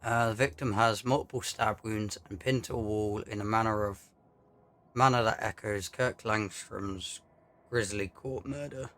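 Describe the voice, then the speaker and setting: A man giving casual, low-key commentary on a video game as he plays, often muttering to himself. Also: steady tone, measured pace, fairly bright tone. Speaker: gaming YouTuber. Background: game music.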